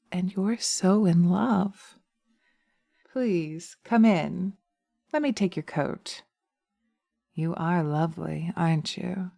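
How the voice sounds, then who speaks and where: Slow, sultry, dark-toned witch hypnotist played by a woman. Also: fairly low pitch, no echo, heavily acted. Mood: sly, teasing. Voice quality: breathy, often dropping to a whisper. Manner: slow, coaxing, taunting, commanding. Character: sly seductive witch hypnotist, taunting and domineering. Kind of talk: hypnosis ASMR roleplay. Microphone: close binaural microphone.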